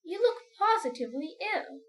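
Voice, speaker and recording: A woman's voice: extremely high pitch, expressive, normal speed, voice pushed up. A woman voicing a huge old grasshopper in a storybook, emphatic and plain-spoken. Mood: concerned. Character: old green male grasshopper, huge, avuncular.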